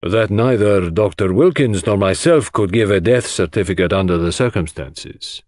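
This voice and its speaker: A grave, authoritative male doctor in a mystery audiobook, voiced by a man. He speaks formally and precisely, in a fairly low voice with a dry, close sound. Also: darkish tone, dry recording, close to an everyday voice. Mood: guarded. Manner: formal, measured. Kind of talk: dramatic audiobook mystery reading.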